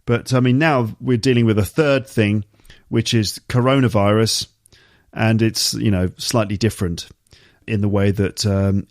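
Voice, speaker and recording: A man's voice: on the low side, darkish tone, no echo, clean studio sound. Chatty man with a slight cold. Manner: chatty, explains words slowly and repeats key terms. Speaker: English teacher and podcast host. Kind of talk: casual explanatory podcast monologue for learners.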